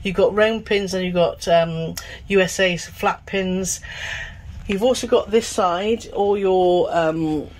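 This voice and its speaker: A woman's voice: lowish voice, slow, a little mellow, low-quality recording. A middle-aged woman chatting casually as she tours a cruise cabin, often checking for agreement. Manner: chatty, seeks agreement with tag questions. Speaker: travel vlogger co-host. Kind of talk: casual tour chat. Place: cruise ship cabin, indoors.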